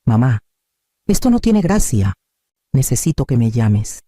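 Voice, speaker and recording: Adult son voiced by a male narrator, story dialogue, insistent and worried, very mellow timbre, dry recording. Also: medium pitch, big pitch swings, a little slow, no echo, voice pushed down. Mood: irritated. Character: worried, demanding adult son leaving phone messages.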